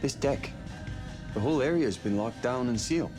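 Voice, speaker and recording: An actor playing a steady, by-the-book spaceship crewman in a sci-fi game, measured and procedural. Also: mid-range voice, over music, natural voice. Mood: composed, reassuring. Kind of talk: video game voice acting, sci-fi horror.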